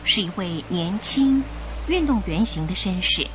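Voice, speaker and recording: A woman's voice: mid-range voice, animated, a little slow, slight background noise. A woman narrating a scripted radio drama as the storyteller. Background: music.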